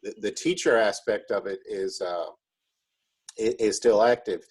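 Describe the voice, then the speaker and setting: A man's voice: fairly low pitch, a little slow, darkish tone, slightly rough. An American man, a drama teacher, chatting casually as an interview guest in a rambling, wry, easygoing way.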